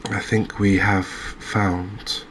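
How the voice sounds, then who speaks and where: A man giving quiet, unhurried ASMR-style commentary as he plays a video game, musing aloud with hesitant pauses. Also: on the low side, level tone, slight background noise. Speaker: host of a gaming channel playing a fishing game.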